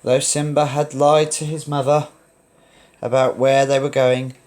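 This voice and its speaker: A man reading a children's story aloud as narrator, in a dramatic, expressive read-along style. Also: mid-range voice, a little slow.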